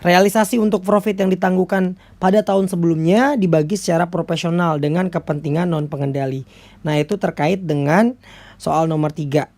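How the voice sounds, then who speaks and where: Low-pitched Indonesian woman lecturing. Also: a little fast, a little mellow. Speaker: accounting lecturer. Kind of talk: explanatory lecture, step-by-step walkthrough.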